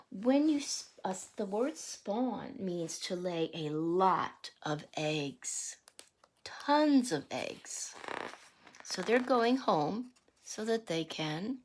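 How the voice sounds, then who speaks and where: Female teacher type, medium pitch, unhurried delivery, reading rhyming picture-book text and explaining it to kids. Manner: deliberate, explanatory, pausing to question young listeners and define words. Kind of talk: read-aloud of a rhyming picture book with teaching asides and questions.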